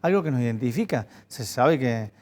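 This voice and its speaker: A man's voice: mid-range voice, animated, moderate pace, a little mellow. A man, a bookseller guest giving earnest interview answers and insisting on his points over and over. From Argentina. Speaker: bookseller association president, guest.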